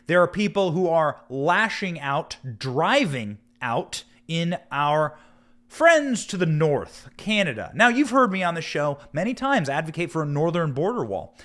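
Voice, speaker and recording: Animated, higher-pitched American man, emphatic commentator. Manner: emphatic, dramatic, with rhetorical questions and drawn-out stressed words. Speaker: political commentary show host. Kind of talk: live political commentary with news read aloud.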